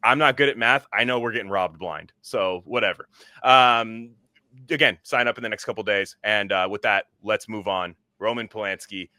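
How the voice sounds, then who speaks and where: Bright-voiced, rambling male podcast host. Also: lowish voice, normal speed, no echo. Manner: loose, rambling, swears casually. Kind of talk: casual chat.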